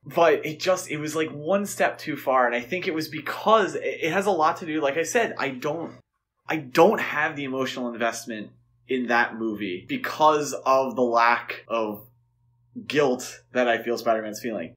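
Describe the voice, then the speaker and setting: A man's voice: mid-range voice, moderate pace, fairly bright tone. A man co-hosting a podcast, talking casually and giving his opinions on films in a conversational way. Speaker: podcast co-host. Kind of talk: casual film discussion.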